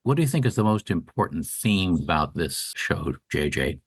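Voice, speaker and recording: A man's voice: deep voice, very animated, normal speed, husky, dry recording, studio quality. A man co-hosting a radio talk show, putting questions to his guests. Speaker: interviewer, co-host.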